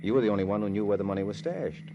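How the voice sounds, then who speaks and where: Man playing a cool American private eye, slangy, wry, fast-talking, animated, hissy humming old radio recording. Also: on the low side, highs cut off, close to an everyday voice. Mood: explaining coolly. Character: wisecracking, self-assured male private detective, cool and flirtatious. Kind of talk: 1950s radio detective drama acting.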